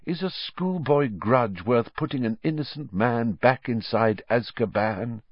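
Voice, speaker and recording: A man's voice: medium pitch, animated, measured pace, no echo, muffled recording. A man voicing a mild, earnest male wizard teacher in an audiobook, pleading and reasoning with urgency. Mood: soft reproach.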